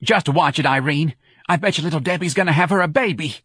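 A man voicing a bossy, nosy and excitable woman in an audiobook, with a brash, folksy New Orleans accent. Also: on the high side, moderate pace, slightly rough, no echo, clean studio sound, pitched above the performer's own voice, exaggerated voice. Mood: excited. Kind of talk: audiobook character voice.

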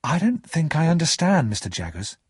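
Man as a timid, husky young student. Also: a little high, big pitch swings, fairly quick, no echo, studio quality, pitched above the performer's own voice, heavily acted. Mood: puzzled. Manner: hesitant, questioning. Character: eager, timid young male student of gentlemanly manners.

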